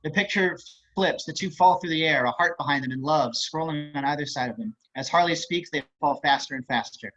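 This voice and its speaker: A man's voice: on the high side, a little fast, a bit bright. A man reading stage and screen directions aloud as scripted narration. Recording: muffled online call audio.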